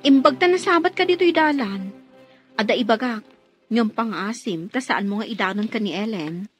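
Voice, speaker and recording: A woman's voice: on the high side, animated, moderate pace, soft background music, close to an everyday voice. An actress playing a concerned, confiding neighbor woman in an Ilocano radio drama. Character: concerned older-sister-like neighbor bringing troubling news.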